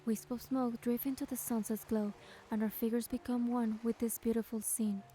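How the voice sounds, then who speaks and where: An actress playing a gentle, affectionate young heroine, sweet and wistful, speaking and narrating in a romance game. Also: female voice, fairly high pitch, little pitch movement, moderate pace, soft background music, natural voice. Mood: soft, dreamy. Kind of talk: romance game voice acting and first-person narration.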